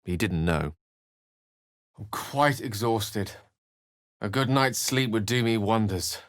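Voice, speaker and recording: An actor playing a courteous, erudite wizard adventurer in a video game, with eloquent, measured, theatrical phrasing and a wry, earnest temper. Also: male voice, fairly low pitch, dry recording, clean studio sound. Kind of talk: video game character lines and narration.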